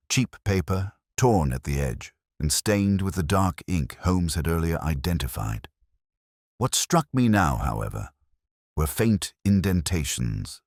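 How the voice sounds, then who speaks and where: A man reading scripted first-person mystery narration in a measured, literary storytelling manner. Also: extremely low pitch, no echo, clean studio sound. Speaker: audiobook narrator.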